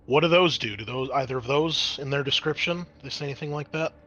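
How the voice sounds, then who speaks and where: Male game master, bright forward voice, medium pitch, conversational and explanatory, noticeable background noise. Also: fast, dull audio. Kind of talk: narrating a tabletop roleplaying game.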